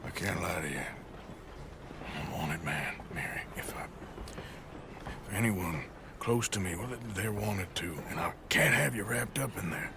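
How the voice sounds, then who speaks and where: An actor playing a gruff, wry American outlaw with a dry, teasing drawl in a video game cutscene. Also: male voice, low-pitched, animated, unhurried, background noise, heavily acted. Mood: regretful. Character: gruff, wry, self-deprecating male outlaw.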